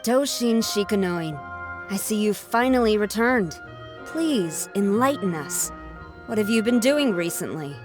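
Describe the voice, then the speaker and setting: A woman's voice: medium pitch, animated, unhurried, lightly acted. A woman playing a stern, formal police commander in a video game, speaking with authority over background music. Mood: stern, questioning. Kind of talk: scripted video game dialogue. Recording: music behind the voice.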